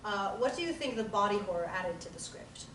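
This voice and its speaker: A woman's voice: mid-range voice, lively intonation, brisk, a bit bright, a little hiss or hum. A woman who wrote the play asks her cast a question in a casual, apologetic way. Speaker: playwright. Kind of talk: casual feedback discussion. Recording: echoey room, distant mic.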